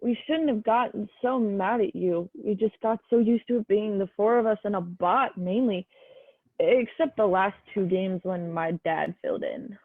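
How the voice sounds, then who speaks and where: A woman's voice: mid-range voice, moderate pace, dry recording, close to an everyday voice. A young woman acting in a student play as a quirky, kindly gamer, chatty and earnest. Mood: concerned. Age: young adult. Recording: tinny dry phone-like audio.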